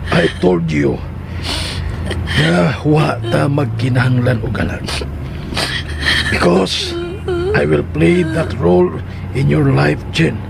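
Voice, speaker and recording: An actor playing a controlling husband in a radio drama, speaking emphatically with a Filipino accent and a gravelly voice. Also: male voice, fairly high pitch, slow, long pauses, heavily acted. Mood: intense consoling. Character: controlling husband consoling his wife, coldly dismissive of her child. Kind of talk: Philippine radio drama acting. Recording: noisy radio drama with background ambience.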